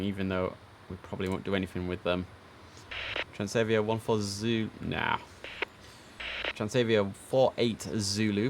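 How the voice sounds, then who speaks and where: A man with a fairly low voice streams as an air traffic controller. He switches between formal clipped calls and casual talk to himself, with noticeable noise behind him. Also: creaky. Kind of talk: livestream game commentary with clipped radio instructions.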